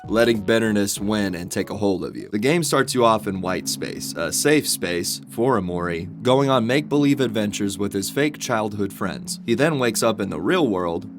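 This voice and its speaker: A man reading a reflective, confessional personal video essay as a scripted narration. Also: fairly low pitch. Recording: guitar music behind the voice. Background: guitar music.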